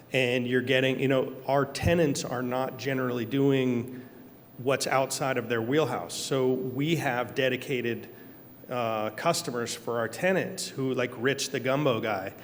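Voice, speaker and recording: A man's voice: mid-range voice, fairly even intonation, measured pace, in a large hall, slight background noise. A man on a discussion panel, talking casually and telling anecdotes, laughing as he goes. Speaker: panelist, kitchen operator. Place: event hall.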